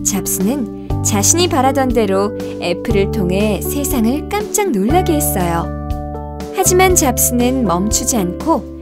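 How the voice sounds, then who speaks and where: A woman narrating a scripted children's story in an expressive, gentle storytelling manner. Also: on the high side, measured pace. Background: music.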